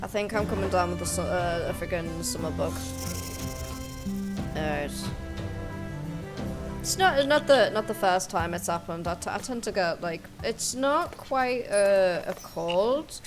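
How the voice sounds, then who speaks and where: A woman's voice: on the low side, animated, a little slow. A congested woman streaming a game, muttering to herself and swearing casually as she plays. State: snuffly, congested. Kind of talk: casual live gameplay commentary. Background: game music.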